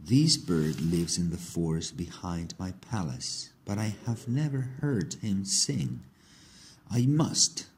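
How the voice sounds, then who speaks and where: A man voicing a sentimental, music-loving king in a storybook, speaking in declarative, expressive lines. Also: sits low, slow, slight background noise, exaggerated voice. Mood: curious, resolved.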